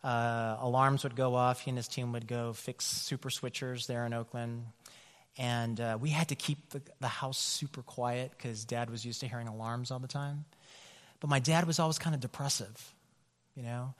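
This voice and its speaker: A man's voice: mid-range voice, steady tone, moderate pace. A man from California, a pastor, telling personal stories in a loose conversational way with many fillers. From California, USA. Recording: long hall reverb.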